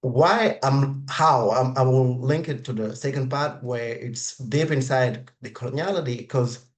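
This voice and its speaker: A man whose first language is French, speaking English on a video call with a French accent and halting, with many restarts and repeated words as he reflects aloud. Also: mid-range voice. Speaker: webinar participant. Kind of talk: reflective spoken comment.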